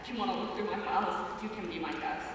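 A woman's voice: fairly low pitch, fast, fairly bright tone. Young woman with dry stage replies. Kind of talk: amateur stage play acting. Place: theater stage, heard from the audience. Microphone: far audience camera. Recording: echoey hall from far off, faint noise.

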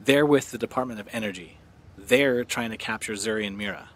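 A man's voice: on the low side, fairly quick, a bit bright. A man playing a wary, boyish fugitive in a sci-fi audio drama.

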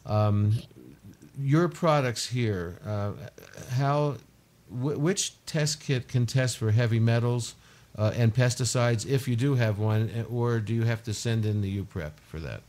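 A man's voice: mid-range voice, a little slow, darkish tone. A man hosting a radio talk show from a home studio, asking questions with many fillers. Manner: filler-heavy, restarts questions. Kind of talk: interview questions.

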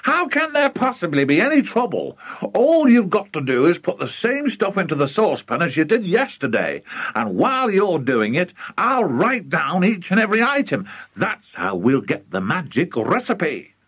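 A man voicing an excitable, scheming, overbearing father in a children's story, gushing and emphatic. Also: fairly high pitch, a little slow, vocal fry, few pauses, husky, dry recording, voice pushed up, exaggerated voice. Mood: impatient, eager.

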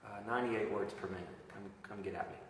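A man, chatting casually in a relaxed, rambling way between songs, filmed on a phone a few feet away in a stairwell. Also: fairly low pitch, quick pace, a little hiss or hum. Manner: relaxed, rambling chat with fillers and jokes. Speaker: worship leader. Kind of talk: live-streamed acoustic worship with casual chat. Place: echoey concrete stairwell. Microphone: phone camera a few feet away. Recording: echoey stairwell from a distance.

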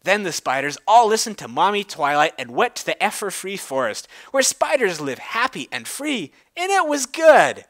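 A bright, forceful voice gives a theatrical reading of a story. The pitch swings widely and key words are drawn out with storybook emphasis. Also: very animated, normal speed, hardly any pauses.

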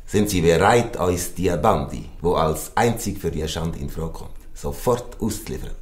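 An actor playing a stern, self-important mayor in a Swiss German audio drama, with a pompous official manner. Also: male voice, deep voice, lively intonation, unhurried, echoey room. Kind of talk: audio drama dialogue in dialect.

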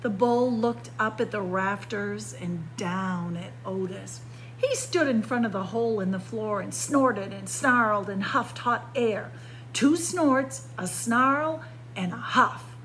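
Female picture book narrator: unhurried, dramatic phrasing, recorded indoors with background noise. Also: rough recording. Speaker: library story time reader. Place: indoors by bookshelves. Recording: clear background noise with low hum.

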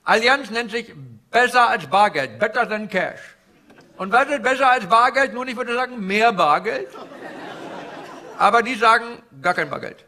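A man playing a cheery, flattering Indian finance guru with an Indian accent, in theatrical character stand-up that repeats phrases and banters with the audience. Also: very high voice, normal speed, full character voice. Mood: mock-earnest explaining. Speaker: stand-up comedian. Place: indoor live venue before an audience. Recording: live stage with noisy audience. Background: audience laughter and crowd ambience.

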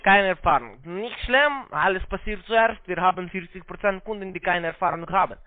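A man with a foreign accent giving a halting, repetitive, polite phone sales pitch in broken, non-native German. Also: dry recording. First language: not German. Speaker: call center investment salesman on a scam call. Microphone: phone call. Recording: narrow-band phone line sound.

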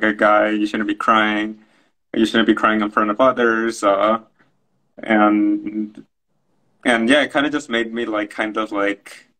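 A man's voice: lowish voice, bright, forceful tone, poor audio. A man speaking on a video call panel, hesitant and reflective, often searching for his words. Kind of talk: casual panel discussion. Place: room at home, indoors.

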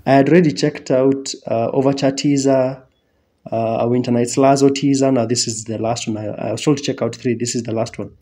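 Brief: Kenyan man, 30s, relaxed chat, mid pitch, desk mic in a small room. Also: brisk. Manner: easygoing, gestures a lot, chuckles. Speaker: reaction video host. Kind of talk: casual chat. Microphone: headphones and desk mic.

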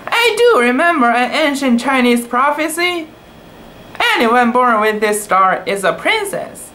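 Guy doing a high voiced snooty excitable lady from a kids storybook, exclaims everything. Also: measured pace, a little hiss or hum, exaggerated voice. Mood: amazed, excited. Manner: exclaiming, theatrical. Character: haughty, excitable woman of a Chinese palace.

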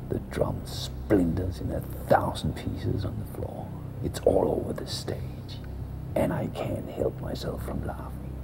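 A man telling a first-person story in a hushed, breathy whisper. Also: low-pitched.

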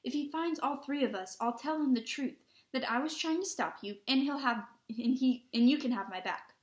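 A woman's voice: high-pitched, moderate pace, echoey room, pitched above the performer's own voice, natural voice. A woman voicing a bossy, indignant schoolgirl who scolds her classmates insistently in a storybook reading.

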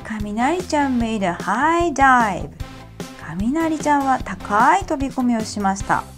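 A woman reading a picture book aloud to children, gentle and clear in her storytelling. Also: on the high side, lively intonation, slow pace. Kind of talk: bilingual storybook read-aloud. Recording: faint music behind.

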